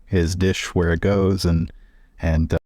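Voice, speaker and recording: A man's voice: low-pitched, level tone, measured pace, warm tone, dry recording, studio quality. A man playing a tabletop game, casually improvising and describing what his character does in the third person.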